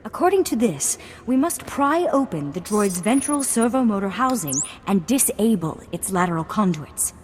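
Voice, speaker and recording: A woman's voice: medium pitch, a little hiss or hum, close to an everyday voice. A woman playing a proud young noblewoman in a video game, speaking formally and earnestly, with a haughty, scolding edge. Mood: instructing. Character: proud, prim young noblewoman, earnest heiress of a fallen house.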